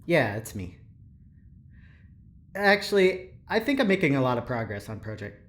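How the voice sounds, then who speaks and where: An actor playing a tired but eager, easygoing young male researcher in an audio drama, chatty, expressive and a little hesitant. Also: medium pitch, normal speed, lightly acted. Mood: confident. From New Jersey. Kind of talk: naturalistic audio drama acting.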